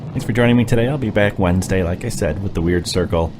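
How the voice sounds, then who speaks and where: Low-voiced male podcast host. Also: fast talker, noisy background. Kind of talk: casual scripted outro. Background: music.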